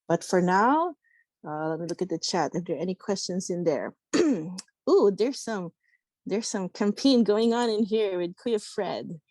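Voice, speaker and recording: A woman's voice: medium pitch, expressive, unhurried, darkish tone, no room sound. A woman hosting an online community meeting, loose and chatty. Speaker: meeting host.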